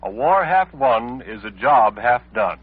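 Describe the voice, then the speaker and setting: A man makes a formal 1940s network radio announcement. His mid-pitched voice is rough and husky, squeezed into a thin, phone-like vintage recording. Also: very animated, a little slow.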